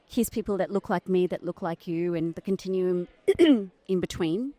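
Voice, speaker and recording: A woman's voice: lowish voice, moderate pace, warm tone, dry recording. A middle-aged woman from Sri Lanka, a guest in a podcast conversation, speaking in a thoughtful, articulate way and often checking in with "right?". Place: conference venue.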